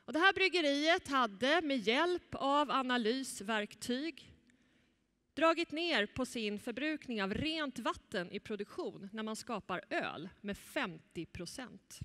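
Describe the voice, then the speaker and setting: Lively woman presenting on a big stage. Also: sits high. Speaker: event moderator. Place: large conference stage. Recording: echoey hall.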